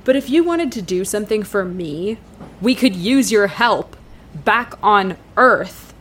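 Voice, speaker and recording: Slow-spoken, blunt frontline fighter. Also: female voice, medium pitch, animated, a bit bright, a little hiss or hum. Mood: confrontational, baffled. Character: blunt, challenging woman fighter from the front line. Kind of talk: audio drama acting.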